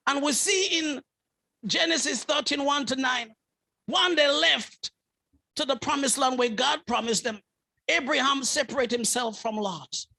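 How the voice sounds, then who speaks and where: A man's voice: extremely high pitch, a little slow, gravelly, pushed and bright. A man preaching a sermon as a bishop, driving his points with repeated phrases and rising fervour.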